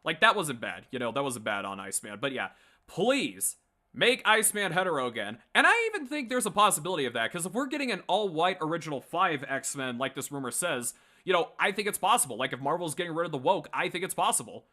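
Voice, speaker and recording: A man's voice: a little high, very animated, quick pace, bright, forceful tone. A man giving casual, rambling fan commentary as a livestream host, blunt and prone to swearing. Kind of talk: casual opinionated fan commentary to a live chat.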